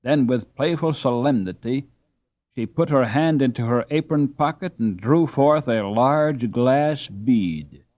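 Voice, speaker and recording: A man narrating a classic children's novel in a measured, expressive reading. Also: mid-range voice, dry recording, highs cut off. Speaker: audiobook narrator. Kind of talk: scripted storybook narration.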